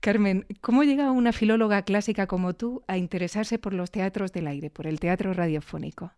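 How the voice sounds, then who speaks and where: Casting: female podcast presenter, accent of Spain, crisp mid-range voice, scripted yet chatty delivery. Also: mid-range voice, brisk, a little mellow. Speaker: podcast host and interviewer.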